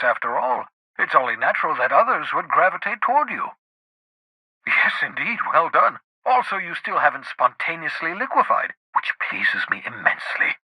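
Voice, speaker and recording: An actor playing a cantankerous, socially awkward scientist in a video game, raspy, with a fussy, wordy, theatrical delivery. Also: male voice, on the high side, sharp tone, no echo, heavily acted, rough recording. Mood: grudging praise. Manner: fussy, wordy, dramatic, with muttered asides.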